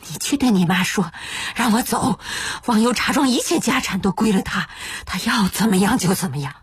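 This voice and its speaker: A woman narrator voicing a desperate opium-addicted father in withdrawal, hoarse and strained, pleading and shouting. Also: very high voice, very animated, takes it slowly, no room sound, voice pushed up, exaggerated voice.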